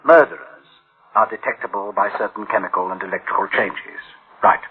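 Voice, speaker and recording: An actor playing an uneasy, conscience-stricken boss in a radio drama, grave and halting as he broods. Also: fairly low pitch, breathy edge, narrow, tinny audio. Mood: grave, alarmed. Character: uneasy, conscience-stricken male boss dreading his machines. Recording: band-limited old radio drama sound.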